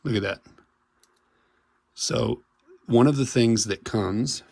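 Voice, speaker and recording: A man with a cold, hoarse as he walks through a repair step by step in a casual, halting way with many fillers and restarts. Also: medium pitch, a little mellow, no echo. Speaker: paintball marker technician. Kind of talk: hands-on repair tutorial.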